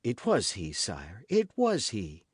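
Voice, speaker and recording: A man's voice: lowish voice, huge pitch swings, slow, husky, no echo, clean studio sound, heavily acted. A man voicing a frightened queen in an audiobook, stammering and imploring. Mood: fearful. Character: frightened, pleading queen, adult woman. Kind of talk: audiobook character reading.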